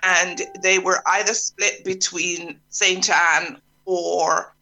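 Middle-aged woman from Jamaica, interview guest, bright crisp voice, relaxed storyteller. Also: on the low side, slow, rough recording.

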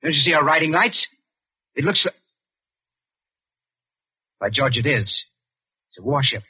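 A shrewd young British colonial man, husky and clipped. He needles politely and probes with wry suspicion in an old radio drama, on a muffled vintage recording. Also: on the high side, expressive, unhurried, heavily acted. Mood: startled. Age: young adult. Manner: clipped, probing, wryly insinuating. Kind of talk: 1940s radio drama acting.

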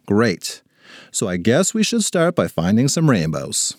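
A man's voice: fairly low pitch, huge pitch swings, a little slow, dry recording, studio quality, pitched below the performer's own voice, full character voice. A man voicing a headstrong, sarcastic fifth-grade girl with a dramatic manner in a children's story. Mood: matter-of-fact. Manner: exasperated, dramatic delivery.

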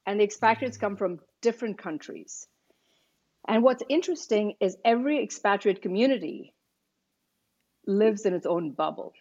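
Female podcast guest raised in Kuwait, mid pitch, measured storyteller, slightly dull recording. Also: animated, a little mellow. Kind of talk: casual podcast interview.

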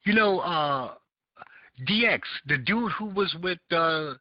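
A man's voice: high-pitched, lively intonation, slow pace, no room sound. A man with a Southern American accent hosting a casual call-in podcast, rambling and searching for words with many fillers. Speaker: podcast host. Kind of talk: casual call-in talk show chat. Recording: dull lo-fi audio.

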